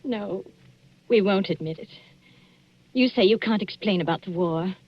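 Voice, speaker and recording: A woman playing an earnest, restrained wife in a 1940s radio melodrama, with a husky voice and measured delivery. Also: mid-range voice. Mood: pleading, restrained. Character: earnest, restrained wife torn between lover and husband. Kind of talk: 1940s radio drama acting. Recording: muffled old radio recording with music.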